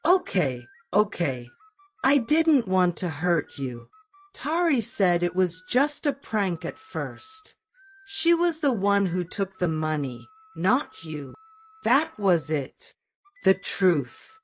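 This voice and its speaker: A woman's voice: lowish voice, very animated, takes it slowly, soft background music, heavily acted. A woman voicing a guilty teenage schoolboy in a story, apologetic and pleading. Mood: remorseful.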